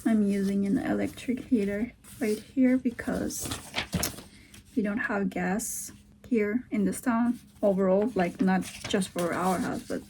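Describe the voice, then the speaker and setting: A young Turkish-accented woman talks slowly, pausing as she thinks things over, her voice carrying a gravelly fry. She muses in a hesitant, unhurried way and now and then drops into soft whispered asides, like someone recording at home on the floor. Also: medium pitch, fairly even intonation, slight background noise, low-quality recording. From Turkey. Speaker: vlogger. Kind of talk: casual thinking-aloud vlog. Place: at home, sitting on the floor beside a heater.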